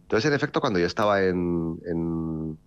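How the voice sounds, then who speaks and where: Very deep-voiced man, wry and rambling. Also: lively intonation, moderate pace, clear, resonant tone, no room sound, dull audio. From Basque Country, Spain. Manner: rambling, many restarts and fillers, wry. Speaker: author. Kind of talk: relaxed interview talk.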